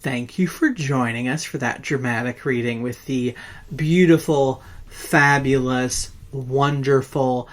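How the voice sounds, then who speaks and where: A storyteller host telling a horror tale in a pompous, campy, theatrical way, with dramatic pauses and drawn-out words. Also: mid-range voice. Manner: pompous, campy, self-flattering showmanship.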